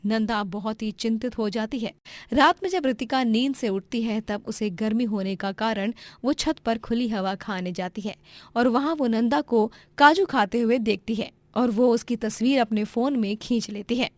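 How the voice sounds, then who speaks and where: A woman storyteller delivers scripted narration for a moral tale. Her voice sits a little high, and the recording is dry and close. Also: moderate pace, no room sound.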